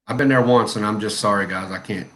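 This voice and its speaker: A man's voice: on the low side, fast talker, a bit bright. A man in his forties chatting casually on a live stream, laid-back, laughing often and telling long stories. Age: 40s. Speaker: co-host of a live chat stream.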